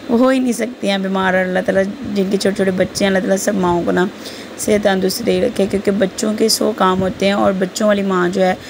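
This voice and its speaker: Hoarse Pakistani mother, gravelly voice-over. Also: mid-range voice, noisy background. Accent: Pakistani Urdu. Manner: chatty, rambling, homely. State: sore throat, slight fever. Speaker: vlogger, mother. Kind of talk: casual voice-over narration. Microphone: voice-over at close mic.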